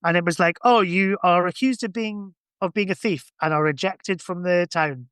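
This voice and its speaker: A man's voice: high-pitched, moderate pace, fairly bright tone, clean tone, no echo, studio quality. A man in casual podcast conversation, thinking aloud in a rambling way. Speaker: podcast guest or co-host. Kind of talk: casual chat.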